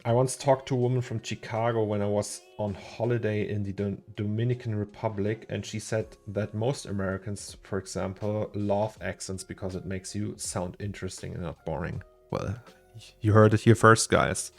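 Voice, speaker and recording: Gravelly German man thinking aloud. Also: lowish voice, fairly even intonation, normal speed, soft background music. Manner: thinks aloud, chuckles often, repeats words. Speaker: 3D artist streaming his work. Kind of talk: casual livestream chat while working.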